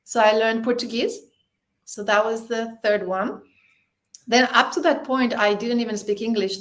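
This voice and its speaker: A woman born in Spain, Spanish her first language, chatting as a podcast guest, laughing often and restarting her sentences. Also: mid-range voice. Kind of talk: casual interview chat.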